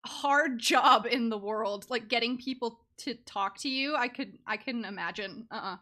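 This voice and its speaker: A woman streaming a video game with casual live commentary, giggly and excitable in her reactions. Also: on the high side, a little slow.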